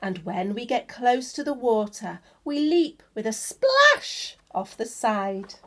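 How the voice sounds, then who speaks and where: A woman's voice: on the high side, very animated, takes it slowly, fairly bright tone, full character voice. Woman reading as playful, lilting penguins. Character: playful waddling, sliding penguins.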